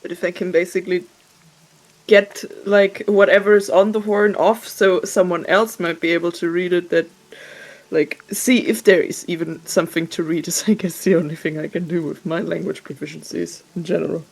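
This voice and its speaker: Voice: mid-range voice, steady tone, moderate pace, creaky. A player at a tabletop game, talking casually through their character's options.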